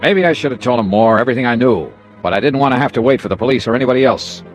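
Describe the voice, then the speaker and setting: A hard-boiled American private investigator, played by a man in an old radio drama. His mid-range voice moves with lively emphasis, brisk and dogged, with faint music in the vintage recording. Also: mid-range voice, natural voice. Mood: wry, clipped narration. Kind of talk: 1950s radio detective drama, first-person narration and dialogue.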